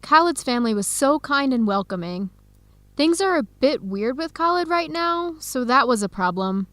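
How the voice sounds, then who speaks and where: Earnest young female researcher, high-pitched voice, dry close sound, clear conversational radio drama delivery. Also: animated, normal speed, a bit bright, dry recording. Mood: candid. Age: young adult. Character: earnest young American woman researcher searching for her birth family. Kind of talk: scripted educational radio drama dialogue.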